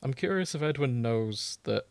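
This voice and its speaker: A man's voice: mid-range voice, animated, normal speed, creaky, smooth, no echo. A male podcast co-host with a mid-range voice, recorded close and dry. He talks casually, reading bits aloud and commenting on them with a joking, dryly teasing manner. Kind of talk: casual chat, reading and riffing on fan fiction.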